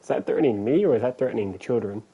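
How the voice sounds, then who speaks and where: Very low-voiced, husky woman streamer. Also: expressive, rapid-fire, muffled recording. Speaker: game streamer. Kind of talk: casual reacting and reading game dialogue choices.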